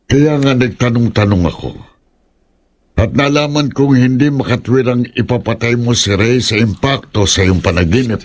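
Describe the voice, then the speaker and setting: A man acting an earnest, principled older ritualist in a horror radio drama, speaking in a husky, pleading manner. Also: medium pitch, normal speed, close to an everyday voice.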